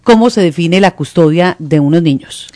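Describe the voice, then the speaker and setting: Chatty host with a mid-pitched voice. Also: dark tone, dry recording, studio quality. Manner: chatty, dictates phone numbers slowly. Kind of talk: conversational interview hosting.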